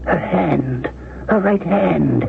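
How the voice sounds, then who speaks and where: An actor playing a frail, ailing old man in a 1970s radio drama, slow, halting and wistful, with a gravelly voice. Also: on the high side, phone-quality sound, full character voice. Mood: awed, wistful. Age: older. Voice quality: gravelly, creaky. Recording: noisy, thin 1970s radio recording.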